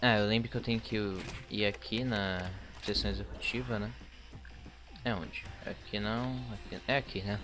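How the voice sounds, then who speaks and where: A man's voice: on the low side, fairly even intonation, fairly quick. A man with a Brazilian Portuguese accent giving casual gameplay commentary as a let's play host. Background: game music.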